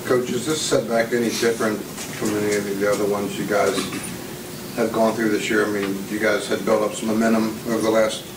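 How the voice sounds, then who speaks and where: A man with a flat, fairly low voice puts a rambling, hesitant question to a coach. He sounds distant in an echoey press room with noticeable background noise. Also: brisk. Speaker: reporter.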